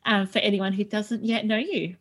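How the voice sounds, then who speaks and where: A woman's voice: mid-range voice, fairly even intonation, moderate pace. Enthusiastic woman podcast host. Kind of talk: casual interview chat.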